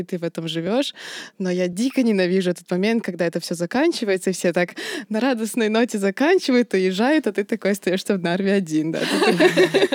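A woman's voice: on the low side, a little mellow, dry recording, clean studio sound. A woman theatre producer speaking candidly and thoughtfully in a casual podcast discussion, laughing now and then.

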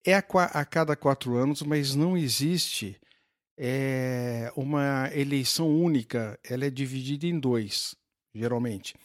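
A man's voice: mid-range voice, measured pace, dry recording, clean studio sound. An older man, a guest patiently explaining something, with many fillers and restarts. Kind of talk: casual explanatory conversation.

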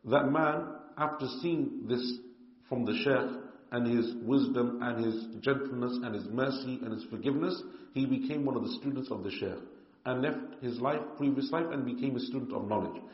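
A man's voice: medium pitch, normal speed. Man telling a moral story in a roomy hall. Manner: storytelling, with Arabic honorifics and small restarts. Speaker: religious teacher. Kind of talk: lecture with a story. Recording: echoey, dull room with reverb.

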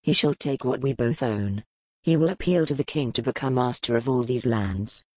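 A woman voicing a grim, powerful male duke, speaking low, measured and brooding in an audiobook. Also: dry recording. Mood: stern. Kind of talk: audiobook character voice.

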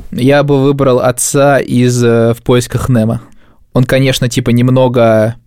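A man's voice: mid-range voice, level tone, measured pace, darkish tone, smooth, no echo, studio quality. A man co-hosting a podcast in casual chat, speaking informally with plenty of slang. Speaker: podcast co-host.

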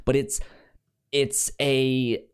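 A man's voice: mid-range voice, completely flat delivery, extremely slow, smooth. A man co-hosting a podcast in casual, unscripted chat, often repeating words as he thinks aloud. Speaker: podcast co-host.

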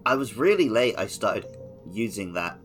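A man's voice: fairly low pitch, sing-song, moderate pace, faint music behind. A young man co-hosting a casual gaming podcast, chatty and jokingly scolding his listeners. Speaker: podcast co-host.

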